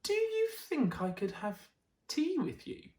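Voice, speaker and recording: A man's voice: very high voice, huge pitch swings, measured pace, a little mellow, voice pushed up, exaggerated voice. A big, furry storybook tiger acted out by a man speaks like a very polite and hungry guest. His intonation rises and falls widely. The room echoes around the voice. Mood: politely pleading. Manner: courteous, mannerly storybook delivery.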